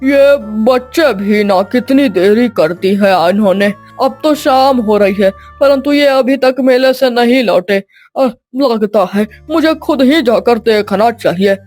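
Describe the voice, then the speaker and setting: A performer voicing a concerned, earnest character in an animated children's story. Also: medium pitch, takes it slowly, soft background music, natural voice. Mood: worried. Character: worried grandfather, and a captive little genie.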